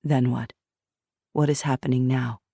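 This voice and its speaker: A woman voicing a calm man who guides someone through a memory with soft, steady questions. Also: extremely low pitch, unhurried, creaky, slightly rough, no echo, studio quality, voice pushed down, full character voice. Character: calm man guiding a hypnotic recall.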